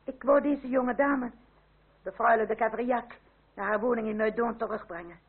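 Female proud, guarded lady of rank, period radio play: husky, creaky, formal, restrained, vintage narrow sound. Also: fairly high pitch, fairly even intonation, moderate pace. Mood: firm, urgent. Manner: formal, restrained, politely firm. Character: dignified, guarded aristocratic lady under questioning. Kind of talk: period radio drama acting. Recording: old narrow-band radio recording.

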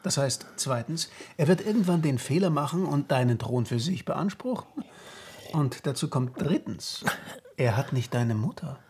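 A man's voice: mid-range voice, animated, normal speed, darkish tone, a little hiss or hum, close to an everyday voice. A man playing a scheming adviser who coolly instructs a young prince point by point in a radio play. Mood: cool, persuasive. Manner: coaxing, reasoning point by point.

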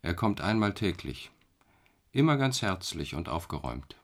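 An actor playing a detached middle-aged man confined in an asylum, calm, matter-of-fact and quietly ironic in a radio drama. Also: sits low, moderate pace. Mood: detached, wry.

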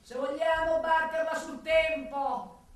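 Radio drama: bitter, death-haunted noir writer, high and deliberate, declamatory, halting then swelling. Distant, very reverberant stage sound. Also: female voice, steady tone. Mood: brooding, urgent. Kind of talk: theatrical radio drama monologue. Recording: echoey, distant stage recording with music.

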